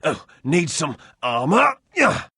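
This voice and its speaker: A man's voice: fairly high pitch, sing-song, slow, pushed and bright, husky, no room sound, studio quality, exaggerated voice. A man voicing a theatrical, cowardly comic sidekick adventurer in a video game, hammy and dramatic. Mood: jaunty. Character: jolly, theatrical, cowardly male adventurer playing ship's captain.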